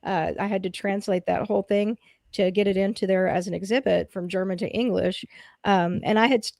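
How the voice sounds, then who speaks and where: An American woman calmly explaining things in a matter-of-fact, step-by-step way in a casual chat. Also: mid-range voice, brisk, gravelly, no echo, studio quality. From United States.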